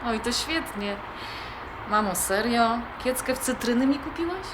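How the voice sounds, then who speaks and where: An actress playing a polite, caring young adult daughter in a radio drama, affectionate and natural. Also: female voice, a little high, animated, moderate pace, lightly acted. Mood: amused. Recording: clearly noisy background.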